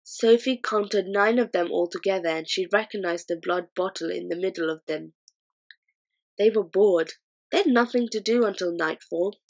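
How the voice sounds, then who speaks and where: A woman narrating a children's storybook, measured and expressive. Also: medium pitch, gravelly. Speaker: audiobook reader.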